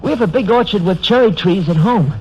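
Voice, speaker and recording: An actor playing a frightened, homesick nineteen-year-old German recruit in a 1930s radio drama. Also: male voice, sits high, lively intonation, a little fast. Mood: dreamy, homesick. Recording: dull, noisy 1930s radio recording.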